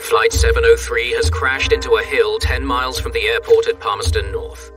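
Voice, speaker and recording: A man narrating a documentary in a measured, dramatic scripted delivery. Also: medium pitch, fairly even intonation. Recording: music bed, distant sound.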